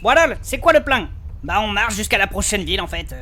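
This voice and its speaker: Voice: medium pitch, lively intonation, brisk, pushed and bright, slight background noise. An actor playing a small, naive, cheeky adventurer in a comic fantasy audio drama, speaking casually.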